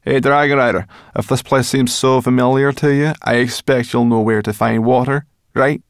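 A man's voice: mid-range voice, fairly even intonation, normal speed, smooth, no room sound, studio quality, pitched above the performer's own voice, lightly acted. Man doing a cross, sarcastic little creature. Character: grumpy, sarcastic, bossy female brownie creature.

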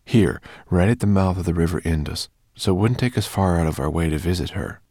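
A man's voice: deep voice, a little fast, dark tone, dry recording, studio quality. A man voicing an earnest, eager boy planning a journey.

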